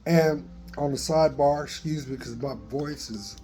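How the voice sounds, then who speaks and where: A man in his fifties with a dry voice, giving a slow, earnest motivational talk into a phone in a garage. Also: on the high side, fairly even intonation, warm tone, faint music behind. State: dry voice, coughing. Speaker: vlogger. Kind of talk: motivational selfie vlog. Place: open garage, daytime. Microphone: phone at arm's length.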